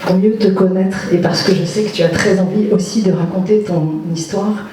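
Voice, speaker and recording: A woman presenting a poetry event, reading a poem aloud and then putting a question to the guest in a slow, measured way. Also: mid-range voice, steady tone, noisy background. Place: municipal lecture hall. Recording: echoey hall from far off.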